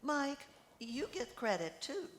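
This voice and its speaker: Older woman, warm supportive friend as a woman reads her in a play, expressive intonation, deliberate, faintly noisy recording. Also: sits high, full character voice. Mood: approving. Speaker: amateur actor reading a play. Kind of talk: scripted play reading. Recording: echoey room with background noise.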